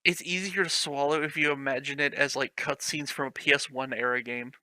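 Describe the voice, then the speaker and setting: A man's voice: mid-range voice, fairly even intonation, brisk, sharp tone, no echo, poor audio. A man reading a clumsy fan fiction aloud for laughs, mocking it, giggling and swearing freely between lines. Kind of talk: comedic dramatic reading with commentary.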